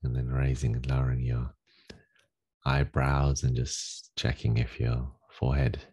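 A man leading a guided meditation as a teacher, giving gentle, unhurried instructions with long pauses in a gravelly, husky voice. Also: extremely low pitch, little pitch movement. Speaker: meditation teacher leading a daily group session.

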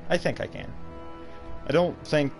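A man's voice: a little high, fairly even intonation, moderate pace, darkish tone. A man chatting casually on a live game stream in a reluctant, self-deprecating way. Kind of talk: casual live-stream chat while gaming. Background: fighting game music.

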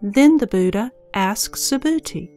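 A woman's voice: medium pitch, lively intonation, a little slow, dark tone, soft background music. A woman narrating a scripture audio drama from a script.

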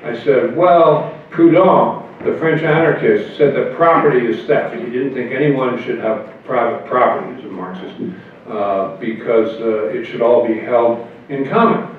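An older man, a politician guest, telling wry anecdotes in a relaxed conversational way at a convention. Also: medium pitch, unhurried, slight background noise. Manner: wry and anecdotal, chuckles at his own jokes. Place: hotel meeting room at a convention. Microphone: table mic on a stand, heard from far. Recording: echoey room, far, phone-like thin sound.

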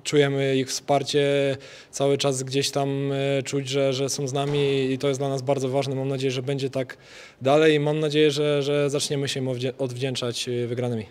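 Flat-voiced young footballer after a match. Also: male voice, mid-range voice, moderate pace. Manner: hesitant, repeats words, many fillers. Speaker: football player. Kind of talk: post-match interview. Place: stadium interview area before sponsor wall. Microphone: handheld reporter mic.

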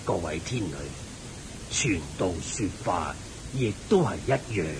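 An actor playing a wise, benevolent Buddhist layman who teaches patiently in a Hong Kong Cantonese radio drama. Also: animated. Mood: benevolent, solemn. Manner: measured, benevolent, didactic. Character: kindly, wise male Buddhist layman sage. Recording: hissy, rumbly old radio recording.

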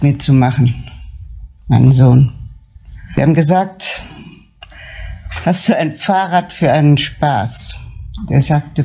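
A woman's voice: low-pitched, mellow, breathy edge, full character voice. An actress playing a stubborn, worried middle-aged mother who runs a tavern, speaking in a blunt, guarded way in a crime drama. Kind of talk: German crime drama acting.

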